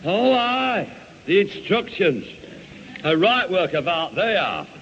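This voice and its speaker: High-voiced blustering Northern English father. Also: sing-song, slow, fairly bright tone, heavily acted. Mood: sarcastic. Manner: blustering, sarcastic, grumbling. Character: exasperated, threatening working-class father, sarcastic grown man. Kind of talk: 1970 radio sitcom acting. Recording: muffled noisy vintage radio recording.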